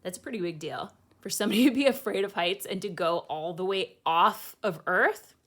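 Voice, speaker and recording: A woman's voice: mid-range voice, brisk, a bit bright. A woman speaking casually as a teacher hosting a picture-book read-aloud, with chatty, informal asides.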